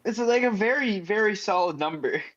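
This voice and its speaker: A man's voice: high-pitched, animated, normal speed, fairly bright tone. A man chatting casually as a tabletop game player, with playful, dry irony. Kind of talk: casual joking chat. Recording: slightly dull, lo-fi audio.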